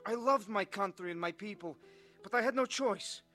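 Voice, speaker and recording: A man's voice: sits high, normal speed, bright, forceful tone, soft background music. An actor playing an earnest, haunted young Russian defector who tells his story in a dramatized testimony. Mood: grave, tense. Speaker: first-person narrator of a dramatized testimony. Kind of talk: dramatized scripted narration.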